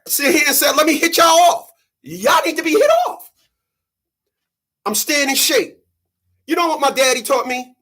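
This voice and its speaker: A man in his thirties, sweaty after a run, giving an animated, preachy monologue to his channel's viewers. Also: extremely high pitch. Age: 30s. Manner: animated, preachy, mocking quoted voices. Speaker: channel host. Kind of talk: casual monologue to camera.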